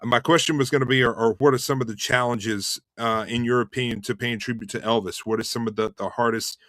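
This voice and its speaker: A young male host and tribute singer chatting casually over a video call. His voice sits at an ordinary middle pitch. The sound is dry and close, and he talks in loose, repeating bursts full of "man" and "dude". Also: brisk, dry recording. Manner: relaxed and chatty, repeats words and says "man" and "dude" a lot. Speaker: host and Elvis tribute artist. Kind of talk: casual interview chat.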